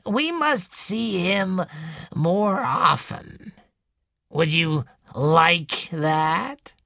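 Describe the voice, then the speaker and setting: A man's voice: high voice, vocal fry, pushed and bright, dry recording, clean studio sound, pitched above the performer's own voice, heavily acted. Man voicing gloating tyrant old woman. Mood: domineering. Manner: slow, savoring, menacing. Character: cruel domineering elderly woman, gloating tyrant mother.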